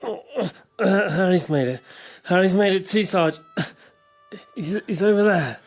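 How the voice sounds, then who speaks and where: Man playing distraught young soldier, radio war drama, raised pitch, anguished, broken breathless delivery. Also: takes it slowly, full character voice. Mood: pained, desperate. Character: distraught young male soldier, wounded or grieving.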